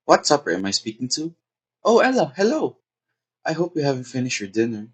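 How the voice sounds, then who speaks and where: Man playing an earnest, husky-voiced society daughter. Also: mid-range voice, very animated, a little fast. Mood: polite, eager. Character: anxious, well-meaning daughter of a society hostess. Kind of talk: theatrical stage comedy acting.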